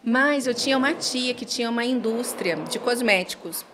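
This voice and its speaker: Higher-voiced Brazilian woman chatting expressively. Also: normal speed, background noise. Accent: mixed Brazilian. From Rio de Janeiro and São Paulo, Brazil. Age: 40s. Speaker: podcast guest, pharmacist. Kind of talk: casual interview storytelling.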